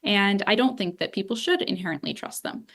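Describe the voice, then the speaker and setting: A woman's voice: medium pitch, big pitch swings, a little fast, fairly bright tone. A woman in her twenties from Northeast Ohio, a political journalist speaking candidly and animatedly in a lecture room, with many asides like "you know". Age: 20s. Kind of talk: public talk answering questions.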